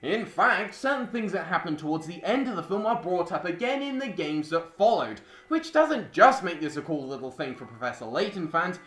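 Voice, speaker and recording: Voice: mid-range voice, animated, normal speed, hardly any pauses, a bit bright. A young adult reading a scripted video essay as a film reviewer, with music behind. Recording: roomy voice-over with music behind.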